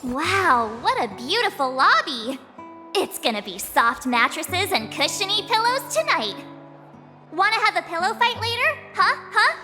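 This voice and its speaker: A woman's voice: very high voice, very animated, measured pace, bright, forceful tone, long reverb tail, soft background music, exaggerated voice. An actress playing a bubbly, cheeky teenage adventurer girl in a video game, with a breezy, slangy, dramatic delivery. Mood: playful, excited. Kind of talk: English video game voice acting.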